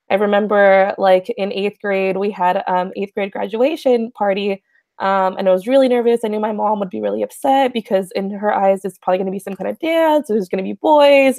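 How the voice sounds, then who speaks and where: A young adult woman speaking on a panel, soft-spoken, with many ums and likes. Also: mid-range voice, gravelly, no room sound, studio quality. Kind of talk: casual panel discussion.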